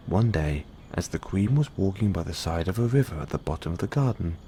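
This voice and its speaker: A man reading bedtime fairy tales as an audio roleplay, in measured storybook narration. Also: sits low, expressive, dark tone, a little hiss or hum.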